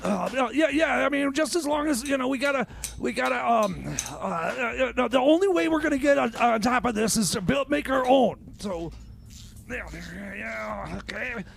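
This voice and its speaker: A man playing a scatterbrained, excitable inventor with a secret scheme, stammering haltingly in an improvised radio comedy. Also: very high-pitched, vocal fry, faint music behind, full character voice. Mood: evasive, excited.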